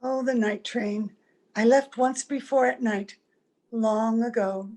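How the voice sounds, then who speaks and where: Woman acting a high-voiced, wistful gentlewoman. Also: steady tone, slow pace. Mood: wistful, anguished. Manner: earnest, gentle, melodramatic. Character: wistful, secretive mother posing as a young visitor. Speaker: actor in an amateur radio play. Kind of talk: old-time radio drama acting. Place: at home, recorded over video call.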